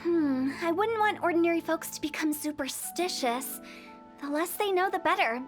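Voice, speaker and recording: A quirky young funeral director from an anime game. Her voice is very high and girlish. She sounds playful and sure of herself, over faint music. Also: expressive. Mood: decisive. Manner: playful, self-assured, brisk. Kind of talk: anime-style game voice acting.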